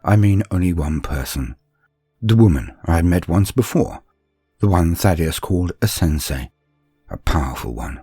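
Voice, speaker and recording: Man as earnest psychic apprentice, deep husky animated storytelling. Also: no room sound, faint music behind. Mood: reflective. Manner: dramatic, measured first-person recollection. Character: young male psychic apprentice, scarred, earnest, reflective. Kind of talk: scripted audio story narration.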